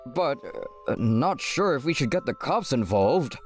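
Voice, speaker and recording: A man's voice: medium pitch, very animated, measured pace, soft background music. A man voicing a hesitant, placating male schoolteacher in a manga dub. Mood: flustered, placating.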